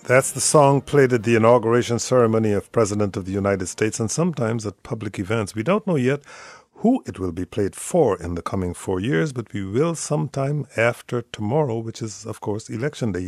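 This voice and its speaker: An older man from Guyana hosting a radio call-in show, speaking in a measured, friendly broadcaster manner. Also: on the low side, a little mellow, dry recording, clean studio sound.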